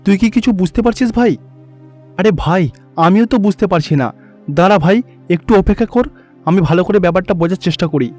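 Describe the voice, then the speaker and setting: A devoted little boy god from a cartoon voiced by a grown man, pitched very high. He is earnest and pleading, with music playing behind him. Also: a little fast, pauses often, a little mellow. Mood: puzzled.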